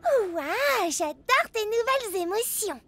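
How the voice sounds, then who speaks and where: A woman's voice: very high-pitched, animated, measured pace, loud music behind the voice. A woman voicing a vain, sugary young microbe girl in a French cartoon comedy, theatrical and self-satisfied. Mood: delighted. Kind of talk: French animated comedy dub.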